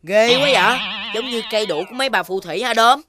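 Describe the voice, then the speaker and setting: A woman's voice: on the high side, quick pace, a crowd in the background, natural voice. A woman voicing an easygoing, admiring little piglet in a children's cartoon, eager and wide-eyed. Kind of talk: animated children's cartoon acting. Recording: loud music behind the voice.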